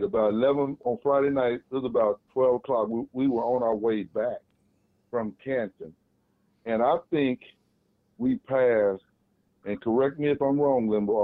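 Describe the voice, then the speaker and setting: A man, co-host of a casual chat show, telling stories in an animated way. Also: medium pitch, a little slow, no room sound. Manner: animated storytelling. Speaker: podcast co-host. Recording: tinny phone-like line, dry.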